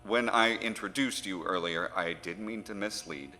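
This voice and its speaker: An actor playing an earnest, diplomatic federal agent in an audio horror drama, speaking politely and persuasively. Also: male voice, low voice, moderate pace, pushed and bright. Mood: diplomatic, insistent. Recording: very reverberant, with noise behind.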